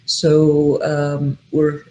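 A middle-aged woman from Egypt speaks as a podcast guest. Her talk is measured and explanatory, and she pauses often on ums as she lays out her thoughts. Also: deep voice, monotone, darkish tone, a little hiss or hum, poor audio. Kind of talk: casual interview talk.

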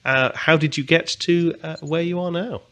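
A man's voice: on the high side, fairly even intonation, moderate pace, bright, forceful tone. A man hosts a podcast interview, hesitant and filled with uhs as he introduces his guest. He repeats words as he finds his way into each question. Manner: hesitant, many uhs, friendly. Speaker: podcast host. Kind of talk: casual interview talk.

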